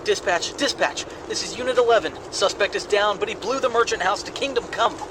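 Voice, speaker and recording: An actor playing an agitated male police officer in a horror drama, urgent and excitable as he works a scene. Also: high voice, a little fast, lightly acted. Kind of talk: horror audio drama acting. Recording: noisy scene with sirens.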